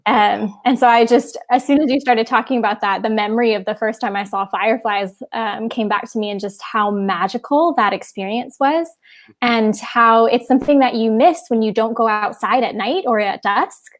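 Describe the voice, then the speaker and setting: A woman from Hawaii, an educator, sharing a memory in a thoughtful, halting way during a casual chat on a video call. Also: on the high side. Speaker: educator and event host.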